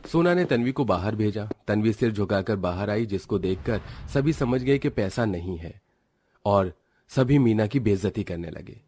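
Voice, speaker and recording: Low-voiced man narrating a story. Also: expressive, moderate pace, mellow, slightly rough.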